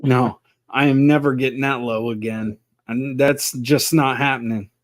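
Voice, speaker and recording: A man's voice: mid-range voice, steady tone. Easygoing cursing man in his forties. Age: 40s. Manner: easygoing, cursing, quick to laugh. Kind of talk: casual chat.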